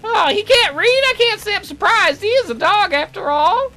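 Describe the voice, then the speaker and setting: A woman's voice: very high voice, lively intonation, moderate pace, pushed and bright, faint music behind, voice pushed up, heavily acted. A woman voicing a fussy, devoted magical attendant in a visual novel, prim and snippy but deferential to a lady. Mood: protective, scornful.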